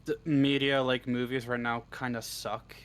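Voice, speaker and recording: A man's voice: mid-range voice, little pitch movement, normal speed, smooth. A man chatting casually as an interview guest, blunt and informal, swearing freely. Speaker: interview guest, script writer. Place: live online voice stream.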